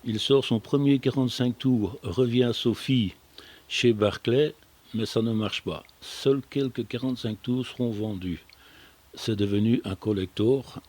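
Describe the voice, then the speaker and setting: A man's voice: mid-range voice, unhurried, darkish tone. An older, retired man presenting a radio programme, reading scripted biographical narration with some casual banter. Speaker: radio show presenter who prepares the programme.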